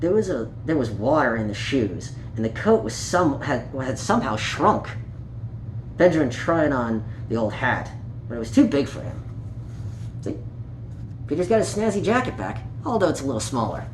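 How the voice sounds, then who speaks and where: A person reading a children's story aloud in an animated, playful way, with small asides and stumbles over words. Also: medium pitch, normal speed. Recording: echoey room with light background noise.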